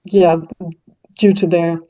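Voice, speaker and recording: A woman's voice: lowish voice, little pitch movement, takes it slowly, warm tone. A German-speaking woman with a German accent, a linguist answering interview questions in a thoughtful way, with many fillers and restarts. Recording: dull lo-fi sound.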